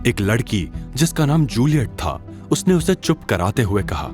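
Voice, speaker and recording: A man's voice: mid-range voice, very animated, moderate pace, darkish tone, faint music behind. A man narrating a dramatic scripted audio drama series as its storyteller.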